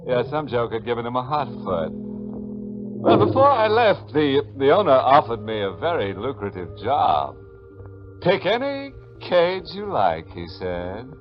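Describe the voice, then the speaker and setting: Theatrical, ghoulish American radio horror host. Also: male voice, medium pitch, wildly swooping pitch, a little slow. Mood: gleefully macabre. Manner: sardonic, dramatic. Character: ghoulish, wisecracking male host of a horror mystery show. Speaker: horror anthology host and narrator. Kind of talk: 1940s radio narration, macabre and theatrical. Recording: muffled 1940s radio broadcast with music.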